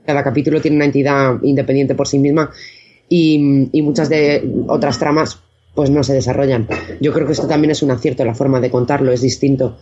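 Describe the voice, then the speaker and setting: A man's voice: medium pitch, fairly even intonation, fairly quick, a little mellow, muffled recording, slight background noise. A man from chatting casually as an interview guest, hesitant and often correcting himself. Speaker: guest, audio fiction director.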